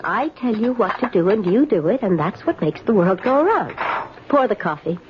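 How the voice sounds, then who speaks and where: A woman's voice: medium pitch, big pitch swings, normal speed, lightly acted. An actress playing a bossy, domineering houseguest in a radio drama, imperious and taunting as she gives orders. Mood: smugly taunting. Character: bossy, domineering woman houseguest ordering her host about. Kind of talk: 1970s radio drama acting. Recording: dull, noisy old radio broadcast recording.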